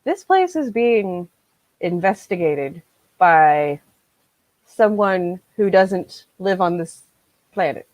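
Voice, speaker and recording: A woman in her thirties talks casually over a video call, with a slightly low voice and very little room sound. She is animated and likes to act out reactions as she goes. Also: takes it slowly, pauses often, no echo, low-quality recording. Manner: animated, acts out reactions and quotes. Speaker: co-host of a book discussion. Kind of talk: casual chat.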